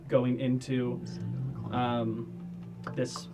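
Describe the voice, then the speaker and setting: A man's voice: medium pitch, monotone, very slow, over music. A man narrating as dungeon master of a tabletop role-playing game, describing scenes and answering players.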